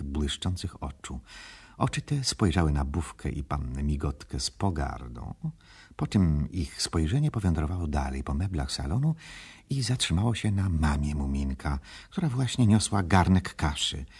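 Very deep gravelly storybook narrator. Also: male voice, very animated, moderate pace, a little mellow, heavily acted. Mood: measured, suspenseful. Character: grave old-style storybook narrator, adult man. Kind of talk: scripted radio play narration.

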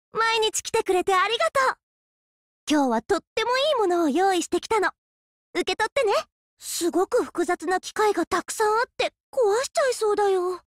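A woman's voice: very high voice, dry recording, studio quality. An actress playing a sweet, caring teenage healer girl in a fantasy game, with a girlish, polite manner. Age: young adult. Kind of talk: Japanese RPG character voice lines.

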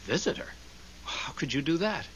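Earnest, expressive newcomer male ghost. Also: on the high side, moderate pace, highs cut off. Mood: earnest, puzzled. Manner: earnest, polite. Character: naive, sentimental newly dead husband's ghost. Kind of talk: 1970s radio drama acting. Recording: hissy old radio recording.

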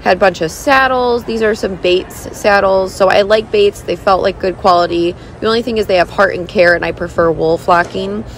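A woman's voice: medium pitch, normal speed, hardly any pauses, slight background noise. A woman in her thirties narrating a casual vlog in a gushing, enthusiastic manner. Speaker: vlogger and horse owner.

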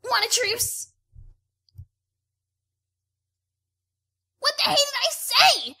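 Casting: woman to play brash young pegasus mare for animated radio play, high, piercingly bright, blunt and cocky. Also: normal speed, heavily acted. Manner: boastful, blunt, exasperated.

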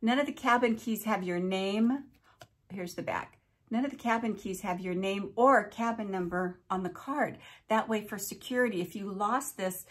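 Older woman host, friendly storyteller, playful asides, mid-pitched voice, small home room. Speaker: cruise vlogger host. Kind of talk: chatty countdown vlog.

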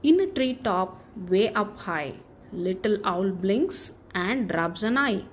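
Very slow, lively woman reading a bedtime story. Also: medium pitch, a little hiss or hum. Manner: expressive, deliberate storytelling with drawn-out phrases. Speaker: children's story reader. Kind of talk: read-aloud bedtime picture book narration for kids.

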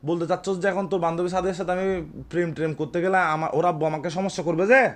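A man's voice: high voice, steady tone, fast. A young Bangladeshi man with a Chittagong accent talking informally on a prank phone call, repeating himself and boasting. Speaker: prank caller playing an older brother.

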